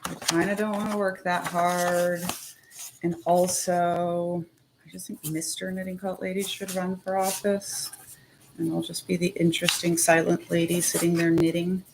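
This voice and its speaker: A woman talking casually and animatedly as host, with quick asides, laughs and the odd swear word. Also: on the low side, flat delivery, background noise. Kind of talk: casual chat to camera.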